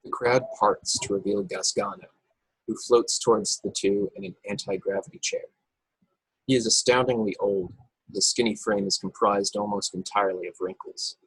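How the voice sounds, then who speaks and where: A man's voice: fairly low pitch, fairly even intonation, normal speed, breathy edge, no room sound, poor audio. A man narrating stage directions from a script at a table read over a video call. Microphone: video call, close and dry.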